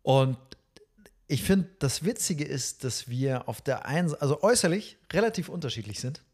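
A man's voice: on the high side, animated, moderate pace, darkish tone, husky. A man in his thirties hosting a casual podcast, enthusiastic and friendly, laughing easily as he talks. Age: 30s. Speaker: podcast host.

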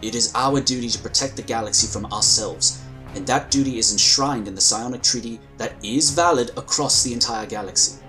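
A man playing a composed, dutiful psychic agent in a sci-fi audio drama, speaking in a formal, earnest, measured way. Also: mid-range voice, bright, forceful tone, faint music behind, lightly acted, rough recording. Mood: earnest, solemn.

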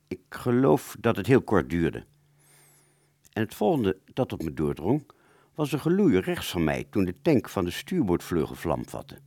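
A man's voice: low voice, darkish tone, no echo, studio quality. A man narrating a first-person story for an audio play, hesitant and conversational, drawing out words and suddenly stressing others.

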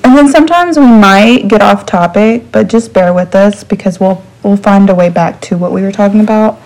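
A woman's voice: mid-range voice, normal speed, darkish tone, low-quality recording. A woman from West Texas co-hosts a podcast, talking in an easy, chatty way. She strings ideas together in lists and leans on small fillers as she goes, with her voice at a medium height. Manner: chatty, list-making, with um and like fillers. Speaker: podcast co-host. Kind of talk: casual chat.

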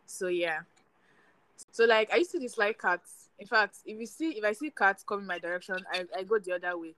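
A woman's voice: mid-range voice, fairly quick, a bit bright, no room sound, rough recording. Woman, young adult, from Nigeria, sweet soothing voice, rambling chat, dry recording. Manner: chatty, rambling, many fillers and restarts. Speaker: podcast co-host. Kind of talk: casual chat.

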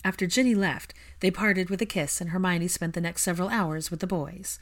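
A woman's voice: on the low side, fairly even intonation, brisk. A woman reading a story aloud as a narrator, in scripted third-person prose. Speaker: fan fiction narrator.

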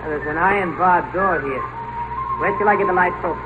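An actor in a 1950s radio drama playing a glib, callous doctor with a mocking, breezy manner. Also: male voice, high-pitched, moderate pace, narrow, tinny audio, loud music behind the voice, lightly acted. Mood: brisk, commanding. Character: sardonic, glib, callous doctor, teasing and unafraid. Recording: thin, noisy old radio broadcast.

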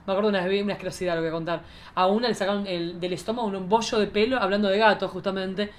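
A woman's voice: mid-range voice, fairly even intonation, very fast. An Argentine woman hosting a casual chat in a playful, slangy, joking manner. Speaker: host.

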